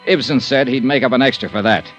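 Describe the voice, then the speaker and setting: A man's voice: mid-range voice, fast, fairly bright tone, dull audio, natural voice. An American man acting a tough, terse insurance investigator in an old-time radio detective drama, narrating in a clipped, matter-of-fact way. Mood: dry, matter-of-fact. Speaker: lead actor and narrator of a detective serial. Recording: vintage radio recording, faint music.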